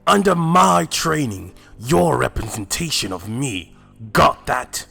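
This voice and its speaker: Casting: proud drill-master anime warrior, voiced by a man, harsh, commanding, forceful gravelly tone, faint music underneath. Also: mid-range voice, big pitch swings, slow pace, full character voice. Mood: angry. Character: Stern, hot-tempered male Saiyan warrior mentor. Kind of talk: fan-made anime roleplay voice acting.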